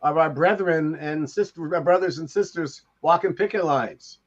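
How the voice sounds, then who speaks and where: An older man co-hosting a casual chat over a video call, reminiscing in a halting way. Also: fairly high pitch. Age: older, 60s or 70s. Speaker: podcast co-host. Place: room at home, indoors. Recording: dull, lo-fi call audio.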